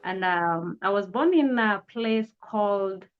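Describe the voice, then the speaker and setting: A woman in her forties, a pastor, speaking earnestly and gratefully in an interview, pausing often on "uh". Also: mid-range voice, lively intonation, clear, resonant tone. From Kenya. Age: 40s. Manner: earnest, grateful, measured with frequent "uh" pauses. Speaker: pastor and guest. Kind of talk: testimony interview. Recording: dull lo-fi audio.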